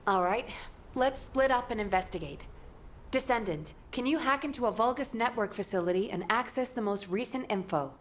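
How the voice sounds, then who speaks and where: An actress playing a capable, stern, dryly wry woman soldier with a brisk manner in a video game cutscene. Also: on the high side, lively intonation, slight background noise, natural voice. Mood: exasperated, brisk.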